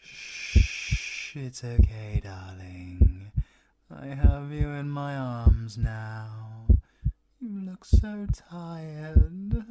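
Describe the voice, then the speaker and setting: A man's voice: mid-range voice, lively intonation, drawn-out words, muffled recording, background noise. A British man voicing a possessive, sadistic werewolf villain in a theatrical audio roleplay, purring endearments and slipping between whispers and laughter. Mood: possessive, coaxing.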